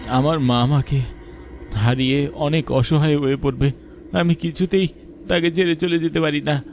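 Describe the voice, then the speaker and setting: A man's voice: fairly high pitch, steady tone, moderate pace, a little mellow, a little hiss or hum, close to an everyday voice. A man voicing a frightened young village boy who tells his ghost story in an earnest, dramatic first-person style for a horror cartoon. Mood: terrified, dramatic.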